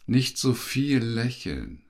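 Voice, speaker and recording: A man's voice: mid-range voice, very animated, slow, vocal fry, background noise, heavily acted. A man playing a cold, joyless middle-aged father in a radio play, curt and discouraging. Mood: cold, dismissive.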